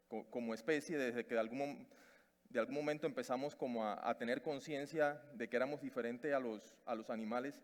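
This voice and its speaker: Cuban man lecturing in a big hall. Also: medium pitch. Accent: Cuban Spanish. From Cuba. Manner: hesitant, many fillers and restarts. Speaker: conference speaker. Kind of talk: conference talk. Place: conference stage in a large hall. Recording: long reverb of a large hall.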